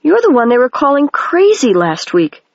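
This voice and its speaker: A bold, curious young girl wizard, read by a single narrator. She sits a bit higher than the reader's own voice. The sound is dry and muffled, like a home recording. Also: huge pitch swings, normal speed, vocal fry, dry recording, heavily acted.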